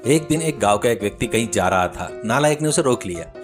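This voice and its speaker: A man's voice: medium pitch, lively intonation, fairly quick. A man narrates a children's story from a script. He works as a storyteller, and background music runs under his voice.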